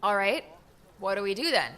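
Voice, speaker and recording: A woman's voice: mid-range voice, sing-song, moderate pace, a bit bright. A woman playing a sarcastic, feisty young female adventurer with a blunt, informal manner in an audiobook. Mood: eager. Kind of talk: audiobook character dialogue.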